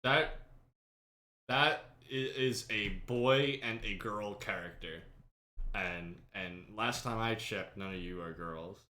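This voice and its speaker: A man's voice: lowish voice, slow pace, sharp tone. A man hosting a casual chat among friends, joking and laughing often. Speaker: streamer and podcast host.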